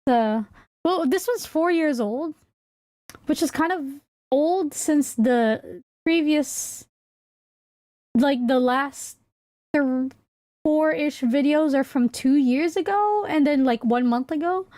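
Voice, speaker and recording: High-pitched woman streamer, unhurried and rambling. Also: warm tone, no echo, clean studio sound. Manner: hesitant, rambling, thinking aloud. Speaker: livestreamer and VTuber host. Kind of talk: casual livestream chat.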